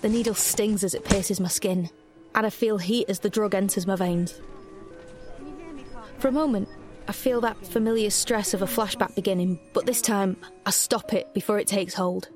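A woman's voice: medium pitch, fairly even intonation, moderate pace, natural voice. Woman as a young heroine narrating over music. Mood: wry, assured. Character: reflective, wry young heroine narrating her own story. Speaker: first-person narrator of an audio drama. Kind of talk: scripted dramatic narration.

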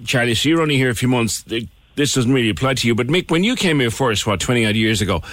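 A male radio host leads a relaxed interview, asking easy questions and trading jokes with his guests. His voice sits in a middle range. The studio sound is dry and close. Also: mid-range voice, quick pace, dry recording. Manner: chatty, jokey interviewer.

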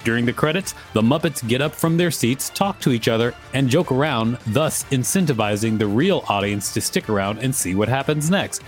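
A man's voice: medium pitch, lively intonation, normal speed, few pauses, faint music behind. A man co-hosting a podcast, reading an article aloud and chatting casually about it. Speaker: podcast co-host.

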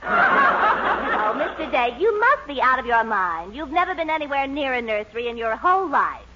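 A woman's voice: high voice, sing-song, moderate pace. A woman acting in a 1940s radio sitcom as an incredulous, no-nonsense mother, exclaiming expressively on an old recording. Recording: dull vintage radio recording, faint hiss.

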